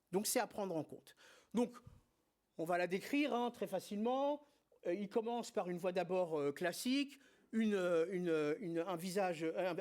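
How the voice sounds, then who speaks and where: Man presenting at a medical congress. High-pitched, emphatic teacher, hesitant in places. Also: darkish tone. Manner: didactic, emphatic, frequent hesitations and filler words. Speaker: spine surgeon presenting at a congress. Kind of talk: conference lecture.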